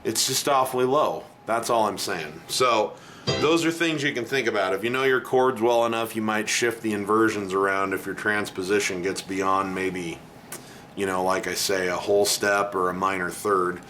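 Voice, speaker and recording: A middle-aged man with a low voice teaching piano in a casual video lesson, talking bluntly and chatting between the keys he plays. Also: steady tone, normal speed. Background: piano playing.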